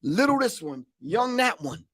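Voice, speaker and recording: A man's voice: extremely high pitch, very animated, a little slow, a bit bright, no echo, studio quality. A man who is a guest on a talk show, speaking emphatically with a preaching cadence. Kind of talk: casual conversation.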